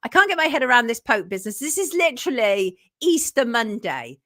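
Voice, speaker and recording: A woman's voice: fairly high pitch, very animated, a little fast, a bit bright. A woman hosting a live-stream talk show, chatty and effusive, reading viewer comments aloud. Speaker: host.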